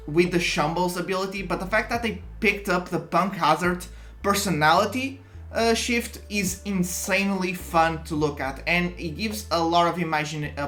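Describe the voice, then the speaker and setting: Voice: medium pitch, fairly bright tone. A content creator with a Portuguese accent, explaining a card game strategy in a casual, chatty way and often restarting sentences. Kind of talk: casual explainer talk to camera.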